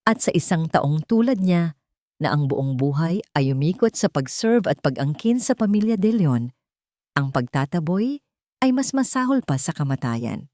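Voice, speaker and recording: A female narrator tells a dramatic story in measured phrases. Her voice sits a little low and moves expressively, building suspense. The sound is dry and close. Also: dark tone, no echo.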